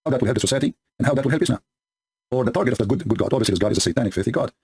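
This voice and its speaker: A man's voice: mid-range voice, lively intonation, rapid-fire, a little mellow, breathy edge. A man whose first language is Arabic, giving sarcastic commentary on scripture in broken English. The recording is sped up to double speed. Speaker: religious polemic commentator. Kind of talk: commentary reading and mocking scripture.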